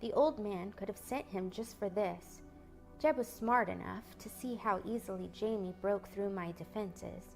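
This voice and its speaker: A woman narrating a novel in the first person, soft and measured. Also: medium pitch, gravelly, faint music behind. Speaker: audiobook reader.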